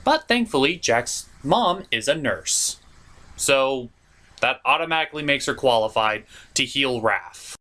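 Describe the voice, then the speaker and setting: A man's voice: mid-range voice, lively intonation, unhurried, sharp tone. A man hosting a cartoon review show, delivering scripted comedic narration in a theatrical, jokey, emphatic way.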